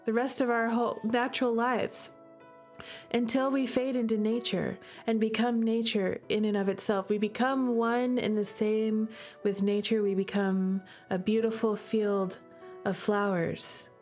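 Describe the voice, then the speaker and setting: Slow-talking, higher-voiced woman streamer. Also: steady tone, a little mellow, faint music behind. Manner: gushing, emphatic, repeats phrases for effect. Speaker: streamer playing a visual novel. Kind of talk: livestream commentary, chatting with viewers.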